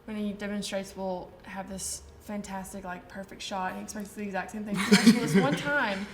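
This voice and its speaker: A woman's voice: mid-range voice, steady tone, slight background noise. A young woman from Tennessee, a college soccer player, chatting and telling a story with a laugh. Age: young adult. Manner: quick, chatty, laughing through stories. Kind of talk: casual storytelling. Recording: echoey room with people around.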